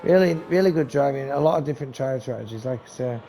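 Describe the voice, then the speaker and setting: A man giving live commentary on a sim race, talking to viewers and losing his voice, with race car engines loud behind him. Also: quick pace, creaky. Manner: excitable, talks to viewers, rising to high bursts on action. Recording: noisy stream with engine sound. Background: race car engine noise.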